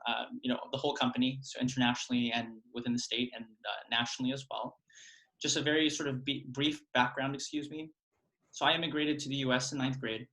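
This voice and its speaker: A man's voice: mid-range voice, fairly bright tone, rough recording. A man working as a college admissions consultant, introducing himself on a video call in an earnest, hesitant way with many fillers.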